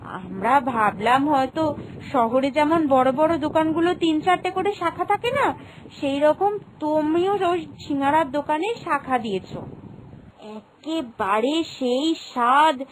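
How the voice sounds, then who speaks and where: A woman's voice: sits high, slow, a little hiss or hum, rough recording. A woman voicing an eager young village girl in a cartoon, gushing and familiar as she chats. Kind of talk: Bengali cartoon voice acting.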